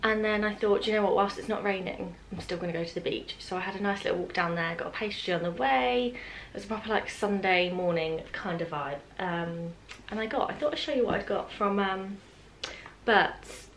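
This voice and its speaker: A young British woman vlogs casually from her living room in an ordinary mid-pitched voice. She chats informally and wanders into small asides as she goes. Clear background noise sits behind her, picked up by a camera a little way off. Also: brisk, poor audio. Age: 20s. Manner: chatty, informal, trailing asides. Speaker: vlogger. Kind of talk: casual chat to camera, unboxing. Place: open-plan living room at home, indoors.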